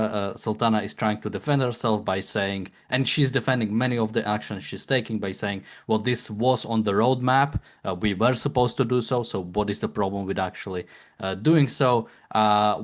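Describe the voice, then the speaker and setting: A man co-hosting a political podcast, explaining the news casually, joking and stumbling over his words with frequent fillers. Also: fairly low pitch. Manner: joking, halting, many fillers and restarts. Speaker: podcast co-host.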